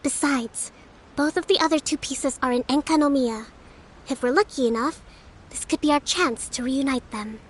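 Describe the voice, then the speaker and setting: Woman playing a high-voiced, gentle young priestess. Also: lightly acted. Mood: gentle, resolved. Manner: measured, thoughtful, polite. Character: gentle, thoughtful young female priestess leader. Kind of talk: scripted fantasy game acting.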